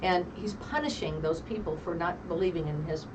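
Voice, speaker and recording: A woman ranting indignantly and rapidly during a casual political chat. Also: on the low side. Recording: distant mic with noisy background.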